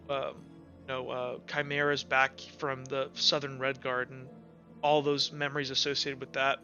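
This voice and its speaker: A man running a tabletop roleplaying game, improvising narration in a halting, rambling way as he thinks aloud. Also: medium pitch, sharp tone. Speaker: game master. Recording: dull lo-fi audio, music underneath. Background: music.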